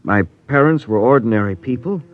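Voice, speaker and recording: Man acting an animated, earnest ordinary crewman. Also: mid-range voice, fairly quick, lightly acted. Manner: plainspoken, questioning, animated. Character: plain ordinary young crewman, earnest, exasperated, defensive. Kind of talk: old-time radio drama acting. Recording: dull vintage radio recording.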